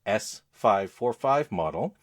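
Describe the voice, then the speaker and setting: A man with a low-pitched voice talks as a podcast co-host in casual conversation. He goes along haltingly, feeling for the right word as he explains. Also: very animated. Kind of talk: casual chat about gadgets.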